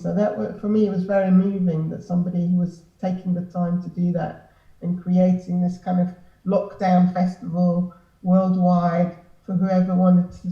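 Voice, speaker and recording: A woman speaking reflectively and unscripted as a panelist. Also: lowish voice. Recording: echoey, distant, dull microphone.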